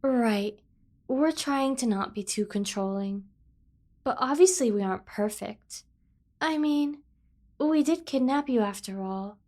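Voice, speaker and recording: Girl doing a yandere sibling asmr thing, kinda high voice, super devoted and earnest, protective kidnapper type. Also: expressive, measured pace, darkish tone. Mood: doting, earnest. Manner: earnest, over-the-top devoted lecturing. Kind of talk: scripted ASMR roleplay addressed to the listener.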